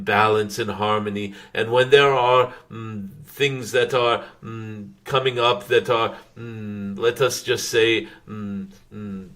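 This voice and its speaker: A man channeling a wise, gentle dragon spirit, giving spiritual guidance in a halting, formal way with frequent humming pauses. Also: on the low side. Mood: calm, earnest. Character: wise, gentle dragon spirit addressing followers as dear ones.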